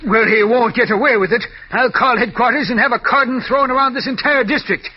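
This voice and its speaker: High-voiced, blustery police lieutenant, old radio. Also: male voice, a little fast, husky. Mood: agitated, determined. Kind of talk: 1940s radio acting. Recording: muffled, noisy old radio recording.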